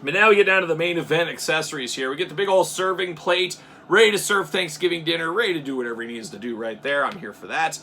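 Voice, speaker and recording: A young adult man hosting a casual toy unboxing, joking and rambling in asides, swinging between loud bursts and low mutters. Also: quick pace, a little hiss or hum. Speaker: toy reviewer.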